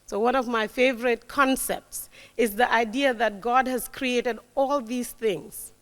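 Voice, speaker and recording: Woman, 40s, emphatic motivational speaker, slightly high voice, long reverb. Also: steady tone, a little slow. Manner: warm, emphatic, repeats key words, frequent "right?". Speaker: guest speaker. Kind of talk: motivational talk to young audience. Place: lecture hall.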